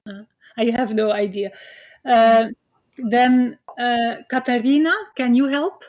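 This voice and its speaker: A woman's voice: on the high side, fairly even intonation, takes it slowly, darkish tone. A woman whose first language is Dutch, giving an online university lecture with frequent "uh" fillers and a hesitant, explanatory manner. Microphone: computer mic on video call.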